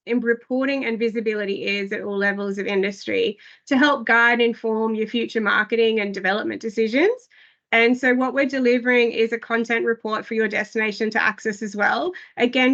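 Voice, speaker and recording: A woman with a fairly high, slightly hoarse voice walks listeners through new platform features. She talks in loose, hesitant runs, leaning on "I guess" and "um". The sound is dull and lo-fi, as over a video call. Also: a bit bright. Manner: filler-heavy, frequent "I guess" and "um". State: losing her voice. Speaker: product presenter. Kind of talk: online product briefing.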